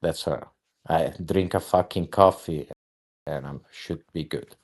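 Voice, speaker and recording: A man's voice: very deep voice, a little slow, creaky. A man chatting casually as a guest on an online voice space, rambling and swearing, with lots of fillers and restarts. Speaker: guest artist.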